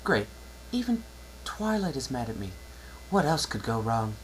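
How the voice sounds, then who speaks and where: A man's voice: medium pitch, very animated, a little slow, slight background noise, low-quality recording. A man voicing an elder-sister pony princess in a dramatic story reading, fretful and exasperated.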